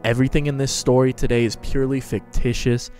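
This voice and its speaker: A man's voice: mid-range voice, little pitch movement, fast, a little mellow, soft background music. A man hosting and narrating a dramatic reading of a fan fiction story.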